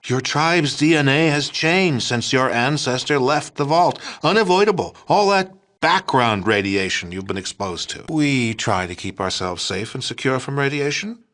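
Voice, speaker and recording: A male actor plays a middle-aged American president from a video game, with a forceful, bright voice. He is pompous and smug, declaiming in a folksy politician's manner. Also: medium pitch, animated, normal speed, hardly any pauses, lightly acted. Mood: smug, lecturing. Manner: pompous, declamatory, hesitant stammering when cornered. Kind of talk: video game dialogue acting.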